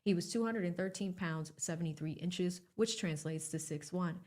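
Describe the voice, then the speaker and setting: A woman's voice: lowish voice, normal speed, darkish tone. A woman reporting testimony by reading a trial recap aloud in a factual, clipped question-and-answer delivery.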